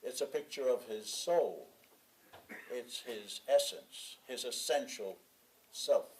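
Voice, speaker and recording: Man reading a sermon in measured phrases. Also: medium pitch, a little mellow, rough recording. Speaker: sermon reader. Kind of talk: sermon read from a written text.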